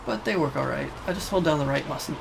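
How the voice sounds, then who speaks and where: A man's voice: fairly high pitch, animated, fast, vocal fry, background noise. A man hosting a gaming video with casual, informal and slightly rambling gameplay commentary. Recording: loud game sound behind voice-over. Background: game audio.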